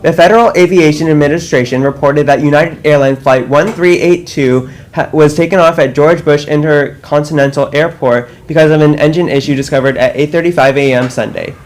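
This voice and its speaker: A man's voice: fairly high pitch, brisk, few pauses. A teenage boy co-anchoring a school news show, reading announcements steadily with small slips. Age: teens.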